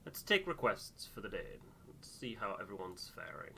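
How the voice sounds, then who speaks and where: A man's voice: fairly low pitch, very animated, moderate pace, creaky, pushed and bright, a little hiss or hum. A man hosting a gaming playthrough in casual chat. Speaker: playthrough host and co-reader.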